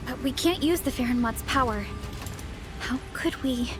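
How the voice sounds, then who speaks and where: A woman's voice: sits high, normal speed, music in the background, close to an everyday voice. A woman playing a hesitant young female character in a video game. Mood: uncertain, anxious.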